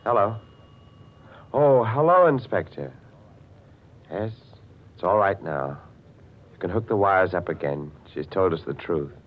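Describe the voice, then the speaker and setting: A deep, measured man of old radio mystery, calm and quietly vengeful. The sound is narrow-band with faint hiss. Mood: businesslike relief. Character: calm, vengeful man springing a trap on a murderess. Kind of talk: 1940s radio drama acting. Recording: narrow, hissy 1940s radio recording.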